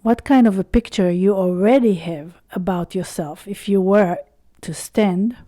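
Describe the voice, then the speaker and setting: A woman guiding a meditation in a soothing, unhurried way, pausing often between short phrases. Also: medium pitch, mellow, no room sound, clean studio sound. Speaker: meditation guide. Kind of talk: slow guided body-scan meditation.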